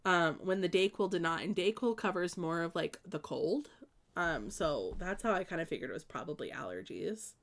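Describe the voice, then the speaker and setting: A woman's voice: fairly low pitch, expressive, normal speed, fairly bright tone. A young woman from the Midwest, stuffed up with allergies, chatting casually with viewers on a live stream and rambling with lots of ums and likes. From American Midwest.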